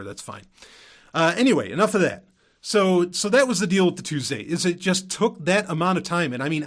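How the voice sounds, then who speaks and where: A man's voice: fairly high pitch, a bit bright. A man hosting a podcast alone in casual, rambling talk, often circling back to repeat himself and using informal, mildly profane language. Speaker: podcast host. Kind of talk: casual solo chat to listeners.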